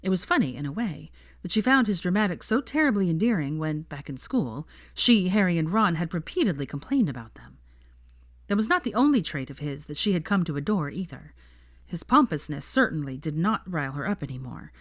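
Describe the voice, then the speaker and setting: A woman's voice: lowish voice, very animated, fairly quick, no echo, clean studio sound. A woman narrating a story from a script in an audiobook style. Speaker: audiobook-style fan fiction reader.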